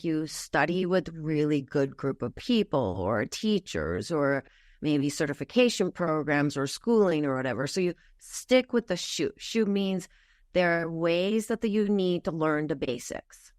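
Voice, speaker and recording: A woman's voice: low voice, no echo, studio quality. A middle-aged woman from Japan, a wellness practitioner, earnestly explaining ideas step by step with many fillers. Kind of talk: explanatory interview talk.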